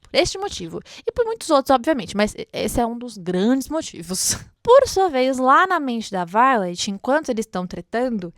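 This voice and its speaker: A Brazilian woman with a fairly high voice talks through a book in a casual, chatty way. She is expressive and gushing as she comments for her audience of fellow readers. The recording is close and dry. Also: normal speed, no echo. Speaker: host of a book read-along show. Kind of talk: casual book commentary with excerpts read aloud.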